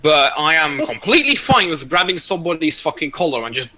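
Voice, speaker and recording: Man, casual stream chat, forceful bright tone, lively pitch, blunt, sweary, rambling. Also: a little fast, a little hiss or hum, rough recording.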